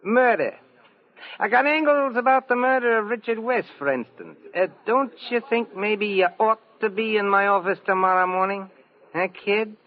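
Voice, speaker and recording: An actor playing a shady, chummy theatrical agent with sly, slangy talk in an old-time radio detective drama. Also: male voice, sits high, normal speed, a crowd in the background, close to an everyday voice. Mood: sly, insinuating. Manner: slangy wise-guy talk with sly hesitations. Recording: muffled, slightly noisy vintage radio recording.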